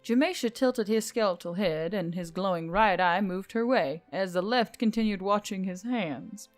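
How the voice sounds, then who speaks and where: A woman's voice: medium pitch, moderate pace. A woman reading scripted fiction as an audiobook narrator. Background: music.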